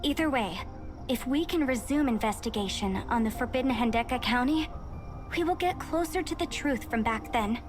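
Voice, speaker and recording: A woman's voice: fairly high pitch, expressive, moderate pace, lightly acted. A woman playing an earnest, dutiful young researcher in a video game, formal and polite as she explains things. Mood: grave, earnest. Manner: formal, expository, apologetic. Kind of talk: scripted video game dialogue. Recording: music behind the voice.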